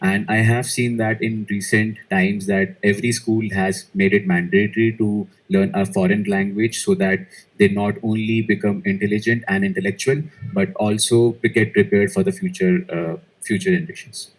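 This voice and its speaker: Hesitant man with a low voice. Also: steady tone, moderate pace, low-quality recording. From India. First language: Malayalam. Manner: hesitant, many fillers and restarts. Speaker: IELTS speaking test candidate. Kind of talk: exam interview answers.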